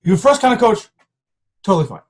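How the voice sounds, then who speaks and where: A man's voice: high voice, very animated, fast. A man in his twenties giving an earnest, pushy motivational talk to his coaching team from home, often restarting his sentences. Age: 20s. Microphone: camera.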